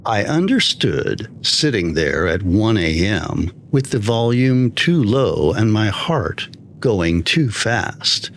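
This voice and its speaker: A man's voice: on the low side, sing-song, slow, fairly bright tone, slight background noise. A man narrating a scripted first-person horror story as a storyteller. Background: music.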